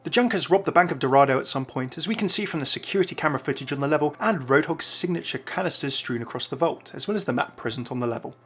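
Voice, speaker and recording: A man's voice: on the high side, expressive, fast talker, hardly any pauses, fairly bright tone. A man hosting a scripted video game lore voice-over in a chatty, informal way.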